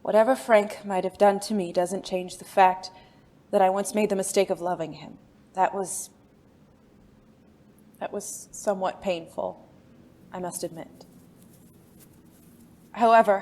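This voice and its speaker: A woman playing a refined, secretive former spy in an audio drama, speaking formally and haltingly, with endearments. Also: medium pitch, steady tone, dark tone, lightly acted. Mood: composed, regretful.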